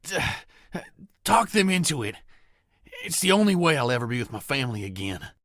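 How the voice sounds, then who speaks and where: A man voicing a sick, dying, grieving survivor in a comic dub, weak and halting. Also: medium pitch, very animated, fairly bright tone, dry recording, clean studio sound. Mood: pleading. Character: Jim, dying bitten man, pleading, grieving.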